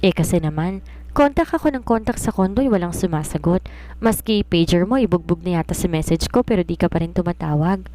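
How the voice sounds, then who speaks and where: A woman's voice: fairly low pitch, lively intonation, fairly quick, mellow, slight background noise, close to an everyday voice. A woman storyteller voicing a chatty, fretful and inquisitive young female secretary in an eager, complaining manner. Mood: fretful, curious.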